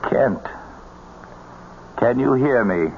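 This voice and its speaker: An actor playing an earnest, concerned military hospital doctor in a radio drama, explaining hesitantly. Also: male voice, mid-range voice, expressive, very slow. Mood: businesslike, hesitant. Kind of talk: old-time radio drama acting. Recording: tinny, noisy old radio broadcast.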